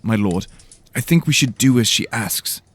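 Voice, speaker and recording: A man's voice: mid-range voice, animated, normal speed, breathy edge, no echo. A man voicing a cautious, polite pupil with a hesitant, sheepish manner in a fantasy audio drama. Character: nervous, cautious, polite young male pupil.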